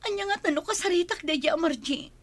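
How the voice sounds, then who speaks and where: A woman's voice: very high voice, expressive, brisk, dry recording. A woman with a Filipino accent acting a hurt, nagging, thrifty girlfriend in an emotional, scolding radio drama style. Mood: hurt, urgent. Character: Bianca, hurt, nagging, thrifty girlfriend confronting her boyfriend.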